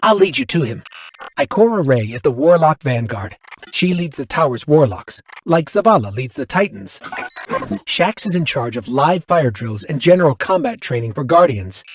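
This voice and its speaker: An actor playing a friendly, upbeat small robot companion, chatty and helpful, guiding a newcomer in scripted game dialogue. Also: male voice, on the high side, big pitch swings, normal speed, vocal fry, a little hiss or hum.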